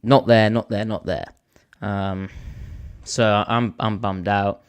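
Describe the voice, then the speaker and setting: Hoarse guy, lowish voice, rambly podcast host chatting. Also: slow pace. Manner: rambling storyteller, animated when telling a story. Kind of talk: casual chat.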